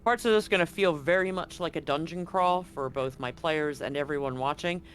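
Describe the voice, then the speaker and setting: A man's voice: on the high side, moderate pace, a bit bright, a little hiss or hum. A man running a tabletop game, explaining scenes informally and directly to his players. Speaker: game master. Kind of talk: improvised tabletop game narration.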